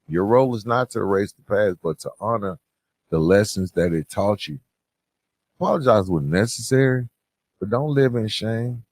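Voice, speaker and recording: Low-voiced man giving a motivational talk. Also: darkish tone. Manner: conversational, addresses listeners directly as bro, man, guys. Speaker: podcast host. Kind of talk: motivational podcast monologue.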